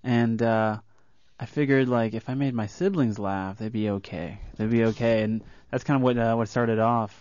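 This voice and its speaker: A man's voice: medium pitch, moderate pace, a little mellow, no room sound. A young man from rural Florida, a comedian guest, talking earnestly about his past in a radio studio. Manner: earnest, reflective, with fillers. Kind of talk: casual interview chat. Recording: dull lo-fi studio sound.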